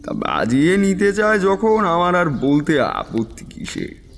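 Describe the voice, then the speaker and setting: Shrewd tantric storyteller, swooping intonation. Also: male voice, high-pitched, wildly swooping pitch, measured pace, vocal fry, full character voice. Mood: wry, reflective. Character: shrewd, self-assured tantric fortune-teller recounting his tale. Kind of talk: dramatised first-person story narration.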